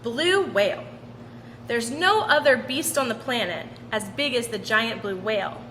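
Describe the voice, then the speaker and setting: A woman reading a picture book aloud for children in an animated, sing-song storytelling manner. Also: a little high, unhurried. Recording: roomy long reverb with background noise.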